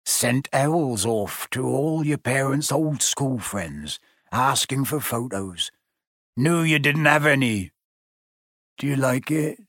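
A man voicing a huge, bearded, soft-hearted half-giant with rustic, colloquial speech in an audiobook. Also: medium pitch, lively intonation, takes it slowly, no room sound, studio quality, full character voice. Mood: gruff, fond.

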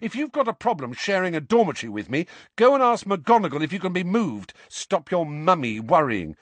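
A man's voice: lowish voice, animated, a little fast, no room sound. A man voicing an angry, defiant teenage schoolboy with a combative way of retorting, in an audiobook. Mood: angry, loud. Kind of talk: audiobook character voice.

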